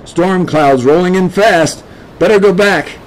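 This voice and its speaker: A man's voice: high voice, a little slow, voice pushed up, rough recording. A man voicing a hearty, fond adult father and sailor in a storybook, with exclamatory delivery. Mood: uneasy. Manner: breathy exclamations, muttering and calling out.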